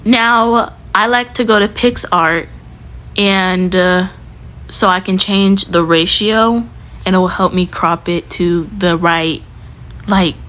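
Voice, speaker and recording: A woman's voice: lowish voice, steady tone, takes it slowly, fairly bright tone, poor audio. A young woman giving a casual, chatty how-to tutorial as a video creator, talking informally with lots of fillers. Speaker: YouTube creator.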